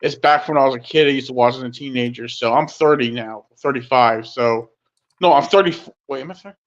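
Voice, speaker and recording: A man's voice: mid-range voice, a little fast, dull audio. A man in his thirties chatting casually as a co-host, rambling and correcting himself as he goes. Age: 30s. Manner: rambling, self-correcting, excitable. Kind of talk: casual chat.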